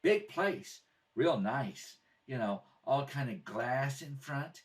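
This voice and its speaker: A man's voice: mid-range voice, animated, slow pace, voice pushed up. A man voicing a proud, earnest young boy who is protective of his family in a radio drama read-through. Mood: subdued, earnest. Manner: earnest, insistent. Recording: distant mic in a home kitchen.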